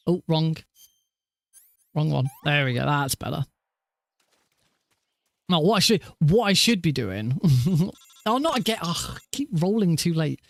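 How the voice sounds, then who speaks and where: A man with a naturally high voice, rambling casually and self-deprecatingly as he hosts a gaming livestream. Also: lively intonation, slow, vocal fry, long pauses, no room sound. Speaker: livestreaming speedrunner and host. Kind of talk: casual livestream chat over gameplay.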